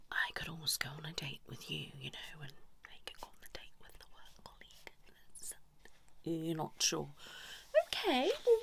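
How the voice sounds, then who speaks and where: British female ASMR performer as a cheeky therapist: breathy whispering, chatty asides, very varied intonation. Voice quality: breathy whisper mixed with low soft voice. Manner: chatty, cheeky, improvised asides to imagined clients. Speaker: ASMR performer role-playing a couples' therapist. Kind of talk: whispered ASMR role-play.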